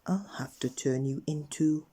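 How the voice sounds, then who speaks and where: A man voicing a stern storybook wizard who scolds with emphatic, drawn-out threats. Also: a little high, mellow. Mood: threatening. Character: stern magical wizard scolding bad manners.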